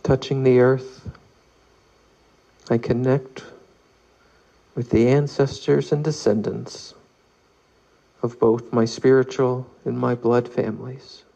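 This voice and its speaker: A man guides a meditation in a mellow voice with a creaky edge. He reads slowly and calmly, leaving long pauses between measured phrases. The recording sounds a little muffled. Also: mid-range voice. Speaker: meditation leader. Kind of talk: guided meditation, read aloud.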